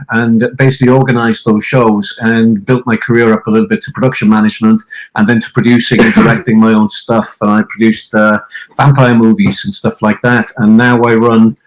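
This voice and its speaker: A man from Wales, a native Welsh speaker, talks about his work in a loose, rambling interview style. His voice sits at an ordinary middle pitch, and he strings his thoughts together with plenty of fillers. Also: level tone, a little fast, low-quality recording. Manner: chatty, rambling, many fillers. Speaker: interviewed author and producer. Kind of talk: casual interview talk.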